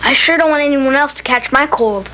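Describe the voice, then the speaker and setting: Curious, high-voiced cartoon child. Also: female voice, a little fast, rough recording. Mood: earnest, questioning. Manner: wide-eyed, questioning. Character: curious young child with a cold, earnest and innocent. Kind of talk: educational cartoon dialogue. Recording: old 16mm film soundtrack, loud music.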